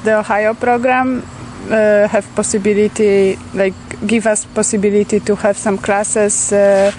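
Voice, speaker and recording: A young woman from Poland with a Polish accent, a farm intern answering interview questions outdoors with many pauses and fillers. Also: mid-range voice, level tone, a little mellow, a little hiss or hum. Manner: hesitant, many pauses and fillers, laughs often.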